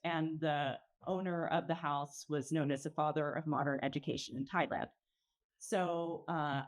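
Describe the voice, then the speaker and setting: Lower-pitched woman giving a presentation. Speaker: presenter, arts organization founder. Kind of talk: informal slide presentation. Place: event pavilion.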